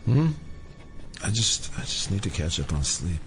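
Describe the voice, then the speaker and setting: An actor playing a weary FBI agent, calm and reassuring, in an audio drama. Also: male voice, deep voice, normal speed, gravelly, music in the background, heavily acted. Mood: weary, placating.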